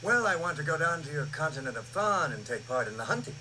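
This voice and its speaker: A man's voice: fairly high pitch, sing-song, fast talker, a little hiss or hum, exaggerated voice. An actor playing an ambitious, condescending nobleman of an empire in a 1980s radio drama, suave with an edge of menace. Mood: smug, suave. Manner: condescending, suave turning threatening. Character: smug, ambitious Imperial nobleman, menacing suitor. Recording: radio drama with loud music underneath.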